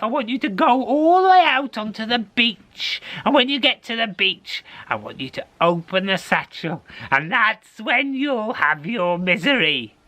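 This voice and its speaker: A man voicing a very old, solemn woman in a told story, questioning and instructing in a deliberate way. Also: high-pitched, sharp tone, pitched above the performer's own voice, exaggerated voice.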